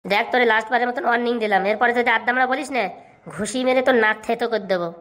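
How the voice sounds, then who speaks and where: Cocky, quick-talking overgrown schoolboy, rural Bengali accent acted. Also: medium pitch, fairly even intonation, fairly bright tone, close to an everyday voice. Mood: touchy, annoyed. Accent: rural Bengali dialect. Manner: boastful, rattling off answers. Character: cocky, touchy overgrown schoolboy, older than classmates. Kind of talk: comic cartoon dubbing.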